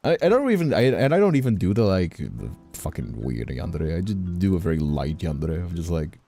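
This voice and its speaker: Deep gravelly guy on a stream, rambles candidly, mellow tone, faint music behind. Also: sing-song, brisk. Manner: rambling, candid, repeats words, swears casually. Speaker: streamer and voice actor. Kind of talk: casual chat.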